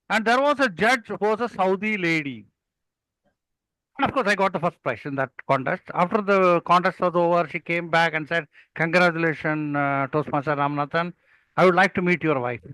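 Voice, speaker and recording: A man's voice: sits high, bright, forceful tone, no room sound, poor audio. An older man with an Indian accent, speaking as a mentor in an interview and telling anecdotes in an emphatic storytelling way. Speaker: public speaking mentor and guest.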